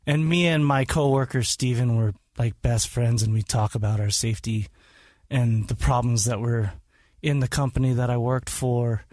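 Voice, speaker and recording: A man's voice: medium pitch, moderate pace, darkish tone, no echo, studio quality. A man who was born in Hawaii and raised in Utah, a podcast guest talking casually with frequent ums and restarts. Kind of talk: casual interview talk.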